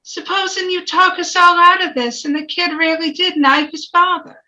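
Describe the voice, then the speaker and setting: A folksy, plain-spoken working man on a jury, self-assured and read by a woman in a high, bright voice. It is an amateur screenplay reading on a dull, lo-fi mic. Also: steady tone, moderate pace, full character voice. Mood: pointed. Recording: dull, lo-fi microphone.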